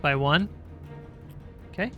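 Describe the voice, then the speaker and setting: A game master with a bright, forward voice at a middling pitch narrates a tabletop roleplaying game. The delivery moves between describing action and making casual rulings, with music playing behind it. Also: expressive, slow pace. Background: background music.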